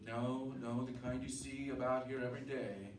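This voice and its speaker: A man's voice: medium pitch, steady tone, moderate pace, natural voice. Man acting an earnest, shaken man, echoey recording. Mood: uneasy, insistent. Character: earnest, shaken family man, bitter toward doubters. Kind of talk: radio drama acting and first-person narration. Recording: distant echoey room, clearly noisy amateur recording.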